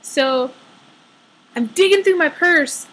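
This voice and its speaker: A young woman telling a casual personal story in an animated, exaggerated way, acting out her own asides as a storytelling vlogger. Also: high-pitched, takes it slowly, low-quality recording.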